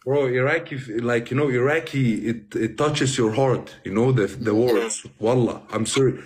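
A man's voice: mid-range voice, normal speed. A young man from Sweden chatting casually on a live stream into a phone. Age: young adult. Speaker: livestreamer.

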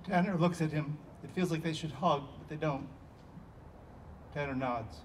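A man's voice: fairly high pitch, moderate pace. A man reading stage directions as scripted narration for a play. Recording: distant outdoor pickup with ambient noise.

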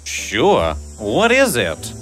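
A man playing a humble, earnest family man in an animated fairy tale, speaking formally. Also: mid-range voice, drawn-out words, heavily acted. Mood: humble, sincere. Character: humble, contented shepherd and honest townsman husbands. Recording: music bed behind the voice.

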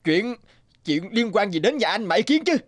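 A man's voice: high voice, very animated, moderate pace, dry recording. A man playing a smitten, blustering village customer in a cartoon, with exaggerated delivery. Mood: defensive bluster. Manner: exaggerated, swooning.

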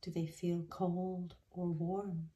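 Slow, mellow woman guiding meditation. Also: on the low side, flat delivery. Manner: gentle, soothing, unhurried with soft phrase endings. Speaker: mindfulness meditation guide. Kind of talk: guided body scan meditation.

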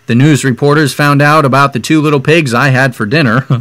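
A man's voice: medium pitch, moderate pace, no room sound. A young man reading a picture book aloud expressively, with casual asides. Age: young adult. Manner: expressive, dramatizing the story. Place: room at home, indoors.

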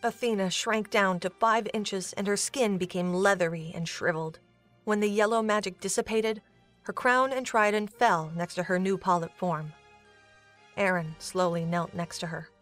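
A woman's voice: medium pitch, fairly even intonation, moderate pace, fairly bright tone. A woman narrating a scripted dramatic story as the narrator of an audio drama. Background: music.

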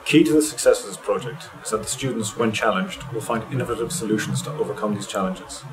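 A man in his thirties, a programme director, giving a steady, measured statement straight to camera outdoors. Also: fairly low pitch, a crowd in the background, rough recording. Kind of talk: scripted interview to camera. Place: outdoors by a building with shrubs. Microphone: close mic, framed head and shoulders. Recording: music bed under the voice.

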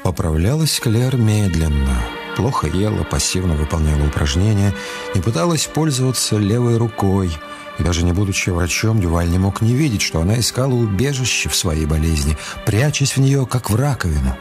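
Low-voiced male radio drama narrator. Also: sing-song, brisk, music in the background. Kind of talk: scripted literary narration.

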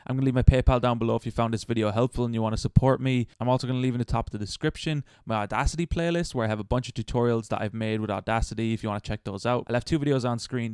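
Fast-talking man hosting a tutorial. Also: medium pitch, darkish tone, clean tone, dry recording, clean studio sound. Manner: informal, chatty. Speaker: tutorial host. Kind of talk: casual step-by-step software tutorial.

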